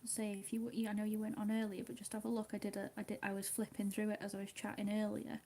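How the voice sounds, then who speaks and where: A woman chatting casually with her viewers while she colours, speaking softly, often half in a whisper and a little hesitant. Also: mid-range voice, completely flat delivery, fast, a little hiss or hum. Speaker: livestream host.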